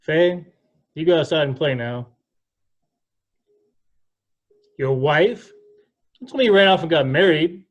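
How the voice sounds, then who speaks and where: A man's voice: a little high, moderate pace, lo-fi sound, lightly acted. A man playing a stern, hot-tempered country father in an amateur play read over a video call. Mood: gruff, dismissive.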